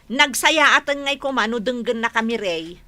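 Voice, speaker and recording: A woman's voice: on the high side, lively intonation, moderate pace, pushed and bright. An actress playing a long-suffering, scolding wife and mother in a radio comedy drama, with an emphatic manner and a Filipino Ilocano accent.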